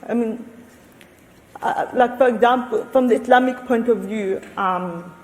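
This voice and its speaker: A woman with a slightly high voice answers on a panel, thoughtful and halting, often restarting her sentences. Her voice carries a long reverb from the hall. She speaks into a handheld microphone. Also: darkish tone. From Australia. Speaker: researcher and panel guest.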